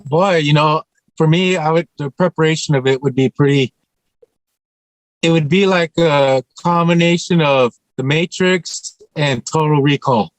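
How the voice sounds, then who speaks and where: A man's voice: fairly high pitch, measured pace, no echo, rough recording. A man chatting casually as an actor on a video call panel, conversational and repeating phrases for emphasis. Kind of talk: casual chat.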